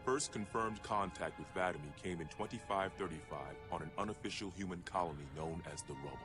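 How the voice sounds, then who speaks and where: A male actor playing a stern military intelligence officer, narrating an enemy dossier in a measured, formal, grave manner. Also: deep voice, close to an everyday voice. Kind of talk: scripted dramatic briefing narration. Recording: game cutscene audio with music underneath. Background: music.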